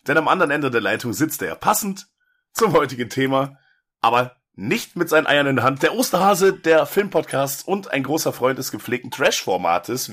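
A man's voice: medium pitch, fairly bright tone, slightly rough. A man hosting a horror movie podcast, talking casually with lots of fillers and jokes while he has a cold. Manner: chatty, joking, many fillers and restarts. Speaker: podcast host.

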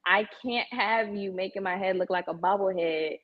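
A woman with an American accent chats casually at a middling pitch. She is frank and sassy, speaking in relaxed slang and making her points straight up. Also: normal speed, dull audio. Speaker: salon client, guest. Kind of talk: casual chat.

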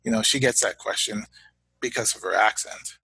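Husky-voiced man, dry close sound. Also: fairly low pitch, bright, forceful tone, no room sound, poor audio. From Canada. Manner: measured, halting, repeats words as he thinks. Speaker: interview guest; father of young children. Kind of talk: reflective interview talk.

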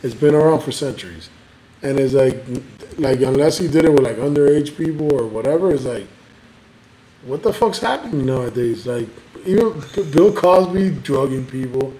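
A man rambles through a loose podcast chat, cursing freely and stacking up filler words. His voice is mellow and dark. The room is echoey, with a faint hum of noise behind him. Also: medium pitch, lively intonation, normal speed. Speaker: podcast co-host. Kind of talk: casual chat.

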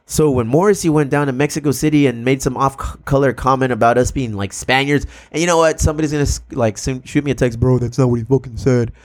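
A man hosting a podcast in casual chat, swearing freely and acting out quotes in animated stories, in a room above a brewery. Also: medium pitch, quick pace, few pauses. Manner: profane, animated storytelling with voiced quotes. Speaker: podcast host.

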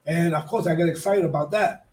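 A man's voice: fairly high pitch, a little fast, a little mellow. A man with a Puerto Rican accent hosting a casual radio talk show, effusive, repeating his phrases and mixing English with Spanish. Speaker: radio host. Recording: clear background noise.

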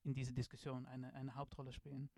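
Hesitant Dutch-accented man, gravelly voice. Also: medium pitch, completely flat delivery, quick pace, warm tone, no room sound. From Netherlands. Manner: hesitant, many fillers and restarts. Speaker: design professor, guest. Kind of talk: podcast interview.

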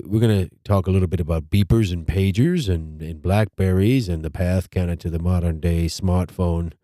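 A man hosting a casual podcast, stuffed up, talking in a rambling, halting way and repeating his words. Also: deep voice, mellow, dry recording, clean studio sound. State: stuffed up nose. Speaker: podcast host.

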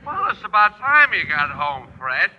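High-pitched, maudlin drunk man. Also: very animated, moderate pace. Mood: indignant, befuddled. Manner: slurred, rambling, sentimental drunk. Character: maudlin, confused, friendly drunk man on a telephone. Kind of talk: 1940s-50s radio comedy acting. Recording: noisy, dull old-time radio recording.

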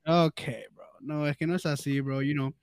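A man's voice: on the high side, steady tone, normal speed, clean tone, no room sound, clean studio sound. A man chatting casually as a podcast guest, slangy and jokey, peppering his Spanish with English fillers. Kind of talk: casual bilingual chat.

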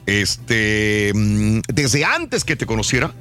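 A man's voice: lowish voice, sing-song, takes it slowly, pushed and bright, faint music behind. A man hosting a casual radio talk show, chatting with co-hosts and inviting listener calls. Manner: chatty, asks listeners questions, reads phone number.

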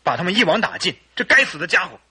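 An agitated, suspicious husband in a radio play. The voice is strained and very husky, sharp and fairly high, urgent and short-tempered throughout. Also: male voice, very animated, quick pace, heavily acted. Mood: anxious, impatient. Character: agitated, distrustful husband, tense and impatient. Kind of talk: radio drama acting.

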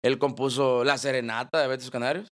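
A man's voice: medium pitch, fairly even intonation, a little fast, fairly bright tone. A man in his twenties with a Mexican accent, a banda singer telling stories as the guest in a casual interview. He jokes, laughs and uses slang. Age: 20s. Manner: laughing, joking storyteller, slangy.

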